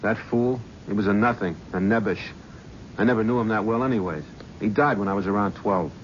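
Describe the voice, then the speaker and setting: An actor playing a driven, haunted talent scout in a 1970s radio drama, bitter and sardonic. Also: male voice, fairly low pitch, a little fast, close to an everyday voice. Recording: dull old radio broadcast with faint hiss.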